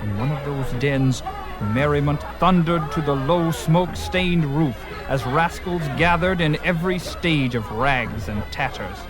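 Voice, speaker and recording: A man's voice: a little high, unhurried. A man narrating a fantasy story in a vivid, theatrical, literary storytelling style. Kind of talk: dramatic scripted fantasy narration. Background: music.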